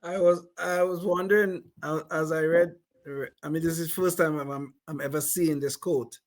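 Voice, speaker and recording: Older man, podcast panelist, slightly high pitch, questioning, halting. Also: fairly even intonation. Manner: questioning, searching for words. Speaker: panelist, retired engineer. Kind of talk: casual panel chat.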